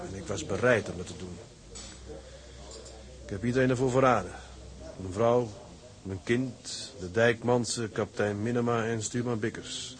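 An actor playing a sturdy, ambitious first mate on a sea tug in a Dutch radio drama, hearty and conversational. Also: male voice, fairly low pitch, lightly acted, rough recording. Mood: bitter, brooding.

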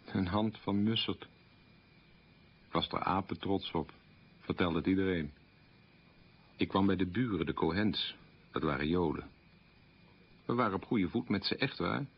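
Low, husky middle-aged man. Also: measured pace, pauses often, heavily acted. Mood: rueful. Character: troubled man candidly recalling his wartime boyhood. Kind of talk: radio play monologue in interview manner. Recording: muffled old radio recording.

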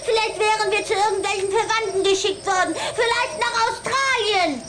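An actress playing a bossy but affectionate little vampire girl in a children's audio play, dramatic and quick to scold. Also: extremely high pitch, noisy background. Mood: indignant, reproachful.

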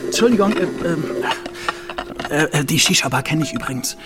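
A man's voice: a little high, expressive, brisk, lightly acted. An actor playing a cocky, eager young male police detective in a radio drama, forward and chatty. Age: young adult. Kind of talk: German radio drama dialogue.

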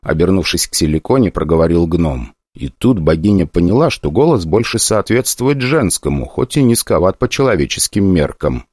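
A man narrating a fantasy audiobook in an expressive, ironic storytelling manner. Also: low-pitched, moderate pace, hardly any pauses, husky, dry recording, clean studio sound.

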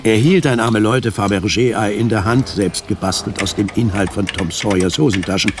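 A man's voice: fairly low pitch, brisk, background noise. A man reading scripted dramatic narration for a science fiction radio drama. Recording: music under the voice. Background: music.

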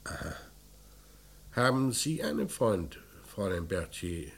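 An actor playing a probing, dry police commissioner in a crime radio play, with a measured way of questioning. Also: male voice, on the low side, sing-song, creaky, full character voice. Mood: gently probing. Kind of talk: German radio drama acting.

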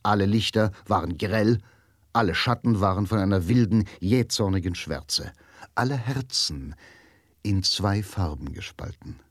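An actor narrating a radio drama as a grave, literary storyteller with a solemn, dramatic manner. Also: male voice, on the low side, animated, unhurried, no room sound, studio quality. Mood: brooding. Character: grave, literary male narrator of a crime tale.

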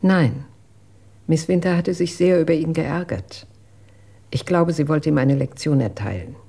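Husky, creaky-voiced matter-of-fact woman. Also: sits low, measured pace, mellow, exaggerated voice. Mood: composed witness. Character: matter-of-fact female household employee with a weak heart. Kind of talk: radio drama acting.

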